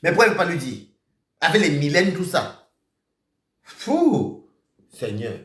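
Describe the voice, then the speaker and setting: A man with a slightly high voice delivers an accusatory rant on a live stream. His pitch swings widely as he repeats his points. He shifts between shouting and muttering, and the room gives off a clear echo. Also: big pitch swings, measured pace.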